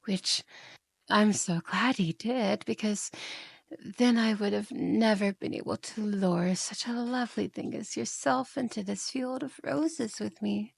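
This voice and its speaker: A woman's voice: medium pitch, unhurried, creaky, slightly rough, no echo, full character voice. A woman playing a seductive, mocking yandere demon in an ASMR roleplay, coaxing and theatrical, often slipping into whispers.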